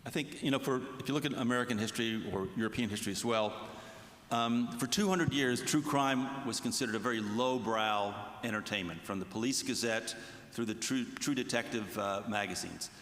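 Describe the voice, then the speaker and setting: Guy writer on a panel, thinks as he goes, measured, lots of room echo. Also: mid-range voice, fairly bright tone, a little hiss or hum. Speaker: true crime writer on a panel. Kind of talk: considered panel commentary. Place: large reverberant festival venue. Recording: echoey hall with long reverb.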